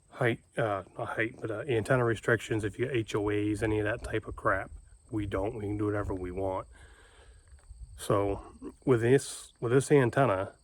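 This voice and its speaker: A man's voice: fairly low pitch, a little hiss or hum. A man with a folksy, plain-spoken manner, giving a casual, informal how-to explanation as a video host. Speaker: homestead video host and ham radio operator.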